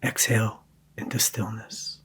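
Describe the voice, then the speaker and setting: Guy leading a guided meditation, super slow and even, soft hushed voice w long pauses. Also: lowish voice, breathy edge.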